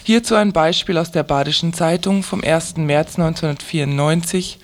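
A woman's voice: sits low, moderate pace. A woman reading a scripted, critical commentary for a radio programme.

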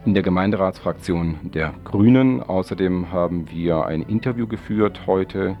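A man's voice: sits low, moderate pace, highs cut off, soft background music. A man hosting an informal radio magazine from a studio, phrasing things loosely and correcting himself as he goes. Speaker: radio host. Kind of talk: informal magazine show introduction and interview. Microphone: studio microphone.

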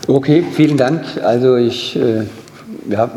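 A man's voice: medium pitch, unhurried. A man chairing a committee meeting, moderating informally. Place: indoor meeting room. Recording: reverberant noisy hall with clicks.

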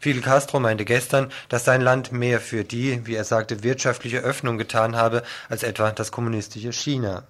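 A man's voice: mid-range voice, fairly even intonation, normal speed, hardly any pauses, a bit bright. A man presenting scripted news on the radio, reading out headlines and reports as the host.

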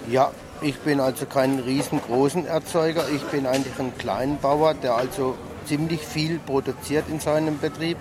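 A man's voice: mid-range voice, steady tone, normal speed, few pauses, noisy background. A man, a small farmer answering an interview at an outdoor market. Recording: busy outdoor market chatter.

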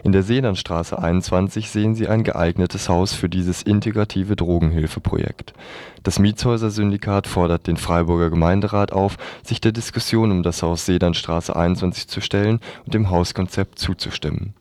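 A man's voice: low-pitched, fairly even intonation, moderate pace, a little mellow, no room sound. A man presenting radio news, reading from a script.

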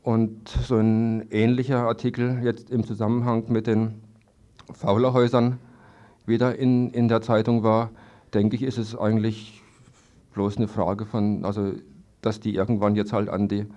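A man answers hesitantly in a spontaneous radio interview, haltingly and often repeating words. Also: lowish voice, little pitch movement, dark tone. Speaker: interviewed evicted trailer-camp resident. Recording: dull lo-fi archival radio recording.

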